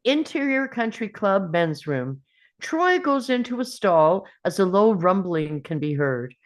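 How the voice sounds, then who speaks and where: A woman's voice: mid-range voice, big pitch swings, a little slow. A woman narrating a screenplay's scene directions at a table read.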